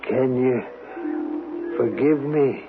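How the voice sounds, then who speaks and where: An actor playing a lonely, guilt-ridden killer in a radio drama, evasive and pleading in a halting, anguished stammer. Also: male voice, medium pitch, music in the background. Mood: guilty, pleading. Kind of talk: 1970s radio drama acting. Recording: noisy, tinny old radio broadcast.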